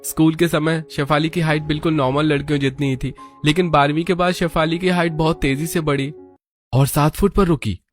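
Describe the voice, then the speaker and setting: Casting: male actor as stubborn young lover in a family cartoon, fairly high voice, emphatic and pleading, music underneath. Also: fairly even intonation, fairly quick, lightly acted. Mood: explaining. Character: young man in love, stubborn, eager younger brother-in-law. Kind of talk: Hindi family cartoon dubbing.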